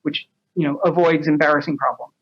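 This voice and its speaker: A man's voice: on the high side, steady tone, fairly quick, breathy edge. A man speaking as a podcast guest, chatting casually and a little hesitantly about his work as a translator. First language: English. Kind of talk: casual interview anecdotes. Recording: dull lo-fi audio.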